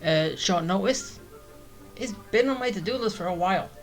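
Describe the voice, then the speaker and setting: Female game streamer, mid-pitched, casual and sarcastic, faint game music behind her. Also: expressive, normal speed. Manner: teasing, sarcastic. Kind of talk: casual playthrough commentary. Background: video game music.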